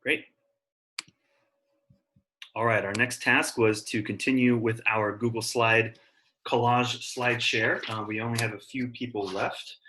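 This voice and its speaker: A man's voice: medium pitch, fairly even intonation, measured pace, long pauses, bright, forceful tone, slight background noise. A man teaching an online class, talking casually and encouragingly to his students. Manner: encouraging, informal. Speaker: science teacher.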